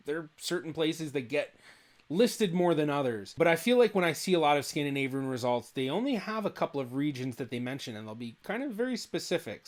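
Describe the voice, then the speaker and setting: A young adult man, a genealogist, explaining test results in a relaxed, thinking-aloud commentary. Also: mid-range voice. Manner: thinks aloud, hedging with "I feel like". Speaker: genealogist reacting to a video.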